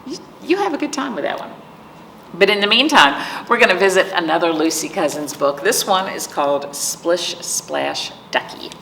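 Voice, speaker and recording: Female children's storytime host, bright and expressive, coaxing young listeners, some creak, echoey room with faint noise. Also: fairly low pitch, moderate pace, distant mic. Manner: animated, coaxing, talks directly to young listeners.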